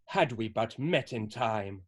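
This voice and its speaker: A man playing a caddish young lover in a stage play reading, melodramatic and at times halting. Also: on the low side, lively intonation, breathy edge, full character voice.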